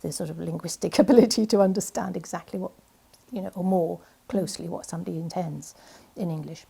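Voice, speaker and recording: A woman's voice: fairly low pitch, fast, creaky, dark tone, slightly rough. A woman poet being interviewed, talking in a hesitant way with many fillers and restarts. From Wales. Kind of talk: casual interview chat.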